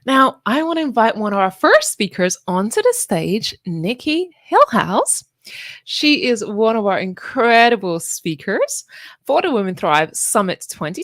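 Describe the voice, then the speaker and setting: A woman's voice: fairly high pitch, big pitch swings, unhurried, a bit bright, no room sound. An upbeat woman hosts a live online summit, rallying her viewers to share and connect. Her voice is dry and close, with no room sound around it. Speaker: summit host. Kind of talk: livestream event hosting.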